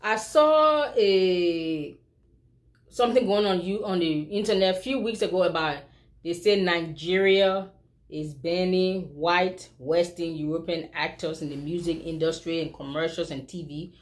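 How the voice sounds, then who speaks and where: A woman's voice: lowish voice, a little slow. Liberian woman giving an emphatic opinion. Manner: emphatic, rhetorical questions to the viewer. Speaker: vlogger. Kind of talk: opinion commentary.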